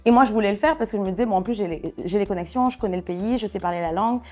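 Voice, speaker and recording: A woman from Niger speaking as a journalist in a conversational interview, telling personal stories with halting self-corrections. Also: medium pitch, a little mellow, faint music behind.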